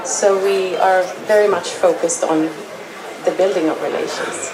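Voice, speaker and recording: A woman's voice: on the low side, fairly even intonation, a little slow, background noise. A woman heading film and TV at an institute, explaining her work plainly on a panel. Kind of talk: panel talk.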